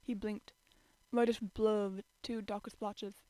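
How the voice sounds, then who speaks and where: A woman's voice: mid-range voice, little pitch movement, measured pace, warm tone, no room sound. A woman giving an informal, amateur dramatic reading of a story as narrator. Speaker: amateur fan fiction reader.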